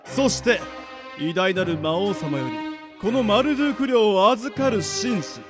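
A man's voice: sits high, expressive, a little slow, over music. A man playing a boastful ghostly wizard nobleman and doting father in a radio drama, with pompous, old-fashioned lordly speech. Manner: pompous, archaic lordly phrasing. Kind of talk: Japanese anime-style radio drama acting.

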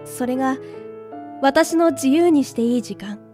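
Woman acting a very high-voiced village girl. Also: expressive, unhurried, dark tone, faint music behind. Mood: wistful. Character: bright, wistful 19-year-old village girl, kind big sister. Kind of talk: Japanese audio drama acting with monologue.

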